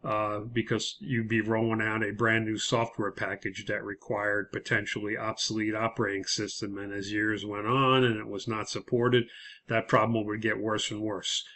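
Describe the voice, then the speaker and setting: A man giving an explanatory lecture as an instructor, talking through material in a measured way. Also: fairly low pitch, flat delivery, pushed and bright, a little hiss or hum. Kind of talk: lecture explaining a case study.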